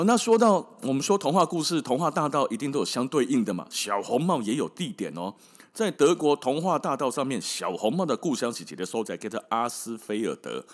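A man with a Taiwanese Mandarin accent telling stories as a podcast host in a casual, colloquial, wry and emphatic way, often tossing in rhetorical questions. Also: mid-range voice. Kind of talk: casual storytelling monologue.

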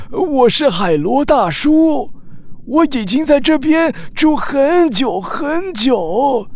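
Kindly old sea snail uncle, really slow n drawn out, high voice, kids audio drama. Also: sing-song, darkish tone, exaggerated voice. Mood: friendly, welcoming. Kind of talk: children's audio drama acting.

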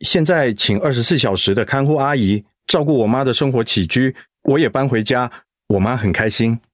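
A man voicing a dutiful middle-aged son and schoolteacher in a radio drama, speaking in a steady, subdued way. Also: medium pitch, brisk, no room sound, studio quality, natural voice. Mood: warm.

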